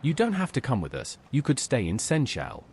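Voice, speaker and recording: A man's voice: medium pitch, wildly swooping pitch, a little fast, a little mellow, slight background noise. A man voicing a direct, inquiring adventurer hero in scripted video game dialogue. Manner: direct, questioning.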